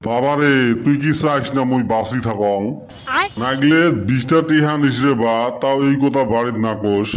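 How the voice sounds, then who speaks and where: A man's voice: mid-range voice, moderate pace, natural voice. A man voicing a henpecked, aching, complaining village father in a comic cartoon, with a western Assam Goalpara accent. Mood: pained, pleading. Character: henpecked, aching village father, whining about his wife. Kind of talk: comic dialect cartoon dialogue.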